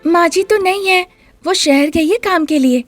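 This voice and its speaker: A woman voicing a sharp, mocking young daughter-in-law in an animated, incredulous manner for a story. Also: very high-pitched, normal speed, soft background music, pitched above the performer's own voice. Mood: polite.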